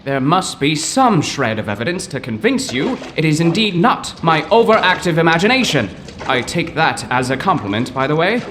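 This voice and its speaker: Excitable male professor, acted, high and swooping voice, florid and overwrought, comic audio drama, some ambient noise. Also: normal speed. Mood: indignant.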